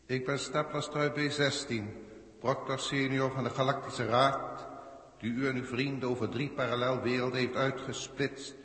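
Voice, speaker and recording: A man's voice: medium pitch, fairly even intonation, moderate pace, fairly bright tone, natural voice. A haughty alien official, acted by a man, speaks out of a long, echoing reverb. He is formal, pompous and condescending, in the manner of a 1960s science-fiction radio play. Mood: condescending lecturing. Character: haughty alien proctor of a galactic council. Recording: echoey hall-like reverb, vintage radio recording.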